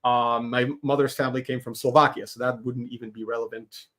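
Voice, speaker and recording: A man's voice: medium pitch, flat delivery, a little fast, a bit bright. A man, a Hebrew speaker, talking animatedly as a guest in a casual podcast interview, laughing often. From Israel. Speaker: guest artist.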